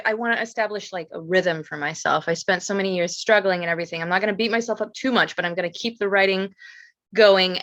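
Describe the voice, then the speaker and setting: A woman's voice: medium pitch, fairly bright tone, no room sound. A woman guest talking informally and candidly in a casual conversation. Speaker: writing course graduate, guest. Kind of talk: casual chat.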